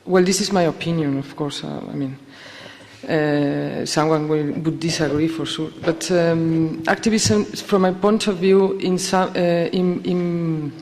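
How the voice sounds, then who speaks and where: A woman from Spain, speaking slowly in English at a conference and searching for words with many fillers and restarts. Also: low-pitched, fairly even intonation, a little mellow. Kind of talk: halting conference discussion. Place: conference hall. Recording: long reverb of a hall.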